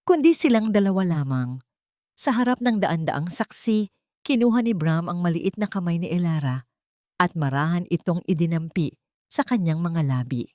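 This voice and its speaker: A woman with a Filipino accent narrates a scripted romance drama. Her voice sits on the low side and the delivery is melodramatic. The recording is very dry, with no room sound. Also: no echo.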